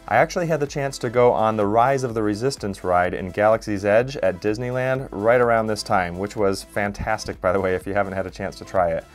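A man's voice: lowish voice, fairly quick, few pauses. A man in his thirties, host of a DIY channel, narrating his build in an upbeat, self-deprecating way. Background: faint background music.

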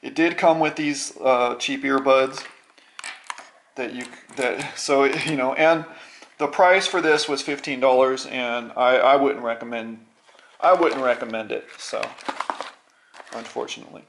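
Gravelly middle-aged man, halting and casual. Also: mid-range voice. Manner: halting, rambling, repeats words. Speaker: vlogger. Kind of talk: casual unscripted show-and-tell.

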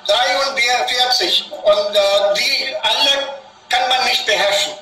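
Non-native professor, high bright voice, halting with restarts, heard from afar in an echoey room. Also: male voice, recorded from a distance, faint music behind. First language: an Indian language. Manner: hesitant, repeats and restarts words. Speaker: guest professor. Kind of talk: online discussion group conversation. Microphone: video call.

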